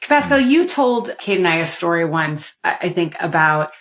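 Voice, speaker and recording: A woman's voice: lowish voice, sing-song, normal speed, fairly bright tone. A woman co-hosting a podcast, asking a guest a long, friendly question with restarts. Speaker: podcast co-host. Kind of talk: conversational interview.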